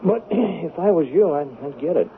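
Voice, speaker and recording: An American actor playing an offhand, menacing messenger for a loan shark in a 1970s radio drama. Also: male voice, fairly high pitch, animated, normal speed, lightly acted. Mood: offhand menace. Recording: tinny, noisy 1970s radio recording.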